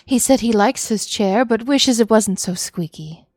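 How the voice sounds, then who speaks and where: A woman's voice: on the high side, lively intonation, unhurried, a little mellow, no echo, clean studio sound. A woman voicing a cheerful, bossy and unhinged mother with an overly chirpy, sing-song delivery in a visual novel.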